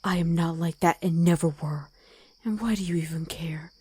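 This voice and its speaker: A woman voicing a gruff, protective wolf girl ex-soldier in an ASMR roleplay, stern and commanding, often in a hush. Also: fairly low pitch, moderate pace, dry recording. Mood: angry, defiant.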